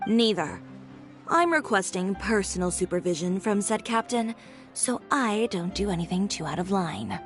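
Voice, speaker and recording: A woman playing a brusque, self-assured female video game character with a blunt, deadpan manner and dry wit. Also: mid-range voice, normal speed, faint music behind, lightly acted. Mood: cool, wry.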